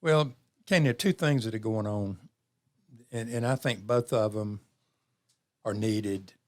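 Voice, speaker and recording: Low-voiced man in his seventies, measured. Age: 70s. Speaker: interview guest, community program leader. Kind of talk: reflective interview conversation.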